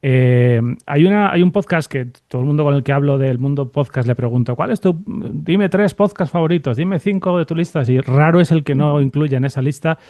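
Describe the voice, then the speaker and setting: A man interviewing a guest conversationally as podcast host, in halting phrases full of repeated tag questions. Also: a little high, dark tone, no echo.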